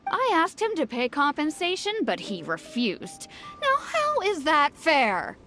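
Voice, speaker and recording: A woman's voice: high voice, huge pitch swings, a little slow, over music, heavily acted. An actress playing an indignant, sarcastic village farmer woman in a video game. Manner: exasperated, sarcastic.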